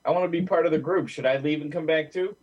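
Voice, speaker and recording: A man's voice: fairly high pitch, fast talker, a little mellow, highs cut off. A man in an audio play cast, chatting casually in a wry way. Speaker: voice actor in the cast. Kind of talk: casual chat.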